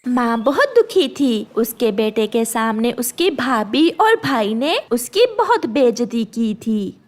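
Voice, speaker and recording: A woman's voice: fairly high pitch, big pitch swings, slow pace. A woman reading scripted narration for a children's story, as the narrator.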